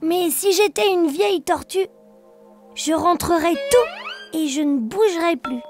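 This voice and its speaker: A woman's voice: very high voice, wildly swooping pitch, a little slow, over music, exaggerated voice. A woman voicing a cheerful, playful young bear cub with a simple sing-song delivery in a children's cartoon. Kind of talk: children's cartoon nursery rhymes.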